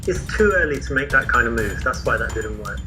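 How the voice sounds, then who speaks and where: A young Englishman chats easily, his London accent mostly worn away. He keeps things playful and relaxed. Music plays clearly beneath his voice. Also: mid-range voice, fairly quick. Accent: mostly faded London English. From England. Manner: chatty and playful, with relaxed fillers. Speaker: dating coach demonstrating an approach. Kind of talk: casual street conversation.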